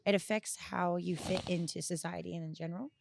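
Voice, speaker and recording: Podcast guest, female, early 20s: lowish voice with heavy fry, thoughtful and halting conversational delivery. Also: steady tone, slight background noise. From United States, grew up partly in Florida and abroad. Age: early twenties. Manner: halting, reflective, self-correcting. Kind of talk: candid conversational interview answers. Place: small indoor lounge, on a leather couch. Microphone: close boom-arm podcast microphone with headphones.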